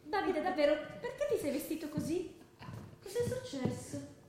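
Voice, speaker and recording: High-voiced concerned friend, echoey. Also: female voice, big pitch swings, moderate pace, darkish tone, a little hiss or hum. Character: concerned young woman, frank old friend. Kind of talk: colloquial radio drama acting.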